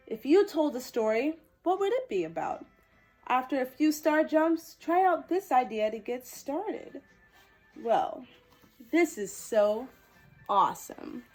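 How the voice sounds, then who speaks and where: Woman, high voice, unhurried pace, expressive children's storyteller, sweet delivery, light music behind. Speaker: children's storytime host dressed as a princess character. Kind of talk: animated children's picture-book reading and direct address to young viewers.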